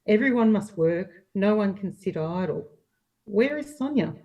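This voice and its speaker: A woman's voice: mid-range voice, lively intonation, a little slow, dark tone, recorded from a distance. A woman playing a homely, pious old nanny in an amateur play reading, speaking in a plain, consoling way. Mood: consoling, practical.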